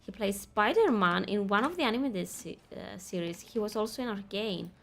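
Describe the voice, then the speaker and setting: A woman's voice: mid-range voice, lively intonation, moderate pace, vocal fry. A young woman streaming a game, chatting casually with her viewers, laughing easily and reading quest text aloud. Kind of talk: casual gaming commentary.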